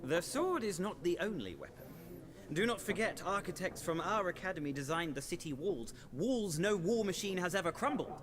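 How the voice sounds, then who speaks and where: A man's voice: high voice, expressive, normal speed, a crowd in the background. A man playing an eloquent, pompous scholarly townsman who loves card games, in video game dialogue. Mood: proud. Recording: game music behind the voice.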